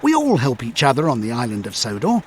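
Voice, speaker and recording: A man's voice: medium pitch, huge pitch swings, quick pace, slight background noise. A man voicing a friendly, helpful male bus who talks politely and cheerfully in a children's storybook.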